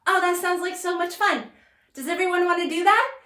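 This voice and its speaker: Eager little puppet-show girl, a woman acting, high and bright, childlike and exclaiming, in an echoing room. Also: a little fast. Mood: excited.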